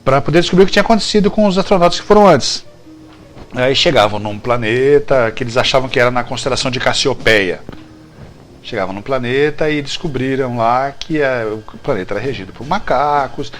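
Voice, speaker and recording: A man's voice: medium pitch, expressive, a little fast. A Brazilian man with a mid-range voice talks casually as an interview guest. He tells his story in repeated, looping phrases and keeps checking in with short tags. Faint music plays underneath. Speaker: guest writer telling behind-the-scenes history of a TV series.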